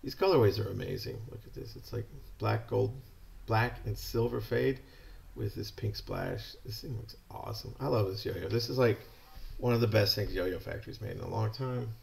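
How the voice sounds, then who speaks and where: Gravelly chatty American man. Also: lowish voice, normal speed. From California, USA. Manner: enthusiastic, rambling, chatty. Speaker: yo-yo shop owner. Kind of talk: casual product unboxing. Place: indoor shop room.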